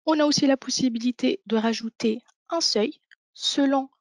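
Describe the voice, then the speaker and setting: A woman's voice: high voice, normal speed, no room sound, clean studio sound. A woman presenting an instructional webinar walkthrough, explaining each thing step by step. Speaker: software demo presenter.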